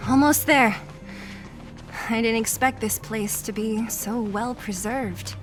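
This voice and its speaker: A woman's voice: on the high side, slow pace, over music. A woman voicing a brash, scrappy young underground fighter in a video game, with a blunt, casual, cocky manner. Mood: brisk, casual.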